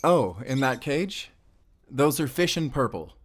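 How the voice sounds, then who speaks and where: A man's voice: medium pitch, big pitch swings, unhurried. Easygoing, chuckly friendly forest ranger played by a man. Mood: delighted, welcoming. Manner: easygoing, chuckly, gently teasing. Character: friendly, self-effacing forest ranger and devoted father. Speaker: voice actor in audio roleplay. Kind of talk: intimate conversational audio roleplay acting.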